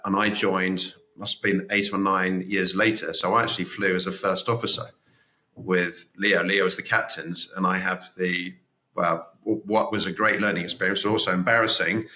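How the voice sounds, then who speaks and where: A man's voice: low voice, bright, forceful tone, low-quality recording. A man in his forties, a pilot hosting a casual interview, talking in a friendly, rambling way. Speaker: podcast host, pilot.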